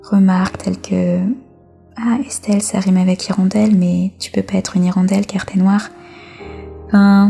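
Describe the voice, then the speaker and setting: A woman from France giving personal testimony as an interview guest, speaking reflectively with hesitant pauses. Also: medium pitch, creaky, dark tone.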